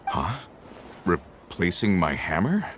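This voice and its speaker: A man's voice: sits low, wildly swooping pitch, slow pace, a bit bright, a little hiss or hum, exaggerated voice. An actor playing a humble, weary workman and family man in a video game, plain-spoken, hesitant and earnest. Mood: thoughtful. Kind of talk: scripted video game voice acting. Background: music.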